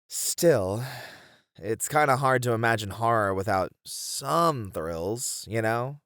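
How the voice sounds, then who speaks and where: An actor playing an eager, playful male coworker in an ASMR roleplay audio drama, chatty and animated. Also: fairly low pitch, no echo, studio quality. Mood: puzzled, curious.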